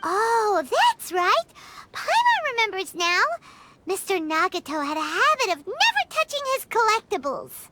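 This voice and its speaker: A woman voicing a tiny, excitable fairy companion in a video game, with a high, squeaky, bouncy delivery. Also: measured pace, background noise, full character voice. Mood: excited. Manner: excitable, exclaiming. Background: game music.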